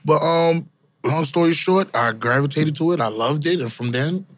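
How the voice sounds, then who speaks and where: Thirties male dancer guest; relaxed slangy talker, dry recording. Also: a little high, normal speed, dry recording. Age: 30s. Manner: laid-back, slangy, full of fillers. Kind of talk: casual interview chat.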